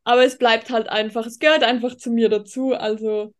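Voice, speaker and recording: A high-pitched woman from Tyrol co-hosts a casual podcast. Her Austrian accent is Tyrolean, with a rolling R and a hard, throaty K. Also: fairly even intonation, fairly quick. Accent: Tyrolean Austrian German. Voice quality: strongly rolled R, harsh throaty K. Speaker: podcast co-host.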